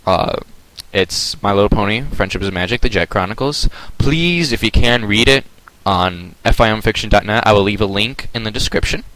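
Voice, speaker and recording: A man's voice: on the low side, lively intonation, moderate pace, fairly bright tone, no echo, low-quality recording. Young man reading his fan story, sore throat. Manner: casual, hesitant with fillers. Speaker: amateur reader. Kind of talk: dramatic reading of own fan fiction. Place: home at night.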